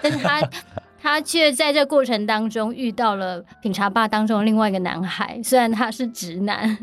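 A woman podcast host, chatting in a lively, playful, giggly way. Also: on the high side, moderate pace, soft background music. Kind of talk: casual interview chat.

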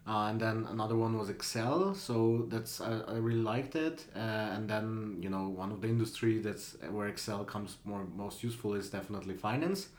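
A young man from Slovenia with a fairly low voice talks through his thoughts on a podcast. He hesitates and fills gaps as he goes, and the room around him echoes. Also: fairly even intonation, moderate pace, hardly any pauses. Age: 20s. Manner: thoughtful, hesitant with many fillers. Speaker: guest student. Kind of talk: casual podcast interview.

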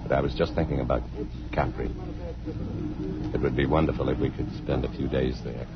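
Courteous romantic man, low voice, hesitant starts, vintage radio drama, muffled broadcast sound. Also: fairly even intonation, brisk, heavy background noise. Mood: apologetic, hesitant. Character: courteous, impulsive man romancing a woman abroad. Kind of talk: 1950s radio drama acting.

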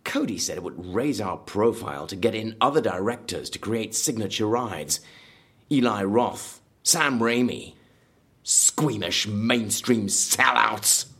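Obsessive horror park designer, low husky voice, swinging pitch, bitter, grandiose, vengeful, audio drama. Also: male voice, animated, unhurried, fairly bright tone, exaggerated voice. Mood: scornful. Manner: brooding, bitter, grandiose, prone to outbursts.

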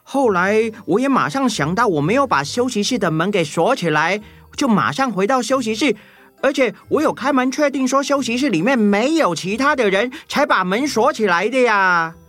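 A man's voice: sits high, expressive, moderate pace, a bit bright, no echo. A man voicing a busy, flustered male meerkat hotel worker in a children's audio story.

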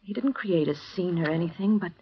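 A woman's voice: fairly low pitch, brisk, slight background noise, lightly acted. An actress playing a frightened young woman who speaks anxiously and hesitantly, in a vintage radio drama. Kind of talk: 1950s radio drama acting. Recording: dull lo-fi vintage radio broadcast.

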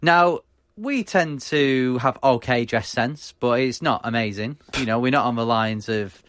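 A man's voice: medium pitch, a little slow, fairly bright tone, slight background noise. A British man hosting a casual sports podcast, talking with frequent ums and restarts. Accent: British English. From Britain. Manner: hesitant, many ums and restarts. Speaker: podcast host.

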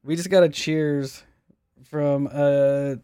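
A man's voice: fairly high pitch, fairly even intonation, takes it slowly, mellow, smooth, no echo, clean studio sound. A man hosting a podcast, casually telling a mystery story, swearing often and stumbling over names. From United States. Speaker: podcast host.

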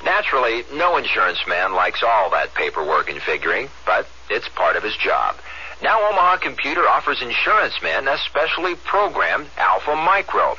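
A man reading a scripted radio commercial as an announcer, with a forceful, raspy voice. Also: mid-range voice. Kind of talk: scripted ad read. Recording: muffled radio broadcast.

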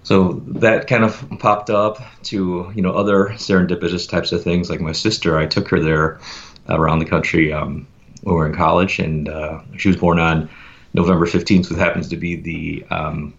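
A man telling his story as a podcast guest in a relaxed, reflective way, pausing often with fillers. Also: deep voice, creaky, muffled recording. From United States. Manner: reflective, meandering with many fillers. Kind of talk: casual conversational interview.

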